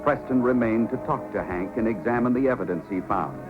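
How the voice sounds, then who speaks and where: A man's voice: medium pitch, brisk, close to an everyday voice. A man narrating as the dramatic storyteller of an old-time radio serial, in scripted style. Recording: muffled old radio recording with music.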